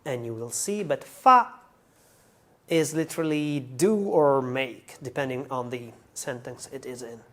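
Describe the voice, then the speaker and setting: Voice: medium pitch, measured pace, a bit bright. A language teacher giving an explanatory song translation lesson, going through it carefully word by word.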